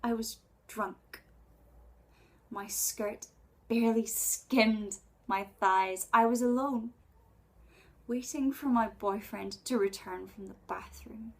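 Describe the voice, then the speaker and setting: Breathy young woman reciting spoken word poetry. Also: fairly high pitch, fairly even intonation. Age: 20s. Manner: measured, intimate, deliberate dramatic phrasing. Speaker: poet.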